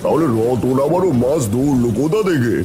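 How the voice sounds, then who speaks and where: A man's voice: mid-range voice, expressive, normal speed, crowd noise, lightly acted. Man voicing a theatrical, swooping cartoon villager. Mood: agitated. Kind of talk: animated Bengali folk-tale cartoon dialogue.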